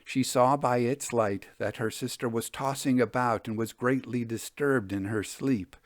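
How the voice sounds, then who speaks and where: A man's voice: medium pitch, steady tone, measured pace, no echo. Man storyteller with a dry, close sound. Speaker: host and storyteller. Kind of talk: expressive narration of old ghost stories.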